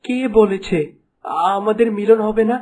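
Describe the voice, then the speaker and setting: High-voiced, earnest young prince. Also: male voice, fairly even intonation, unhurried, mellow, slight background noise. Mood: devoted. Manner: earnest, romantic. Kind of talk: Bengali fairy-tale cartoon voice acting.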